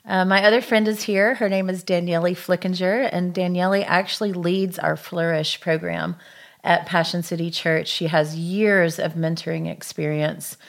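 Woman, podcast host, lower voice, gushing and upbeat. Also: fairly even intonation, normal speed, creaky. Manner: enthusiastic, effusive. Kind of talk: podcast conversation.

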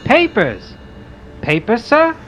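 A man's voice: very high voice, wildly swooping pitch, slow pace, fairly bright tone, slight background noise, full character voice. A man playing a polite, awed homeless orphan boy in an amateur radio play. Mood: earnest, polite.

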